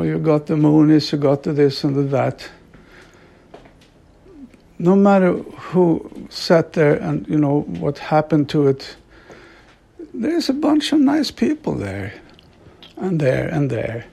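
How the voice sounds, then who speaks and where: A middle-aged man from Sweden, an author and devotee, talking reflectively in a slow, halting way with frequent soft laughs. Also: on the high side, gravelly, breathy edge. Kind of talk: reflective monologue.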